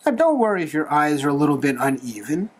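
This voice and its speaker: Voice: mid-range voice, big pitch swings, fast. A tutorial host talking through a drawing step by step in a playful, encouraging manner. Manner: playful, light humour, encouraging.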